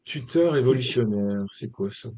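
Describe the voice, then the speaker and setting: A man calmly and persistently objects during a casual debate. His voice is husky and slightly rough, at a middle pitch. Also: normal speed, no room sound. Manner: calm but persistent objections.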